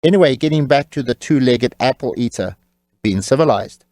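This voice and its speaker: A man's voice: medium pitch, animated, fairly quick, a little mellow, no room sound, studio quality. A man narrating an audiobook as its author, in a wry, tongue-in-cheek manner.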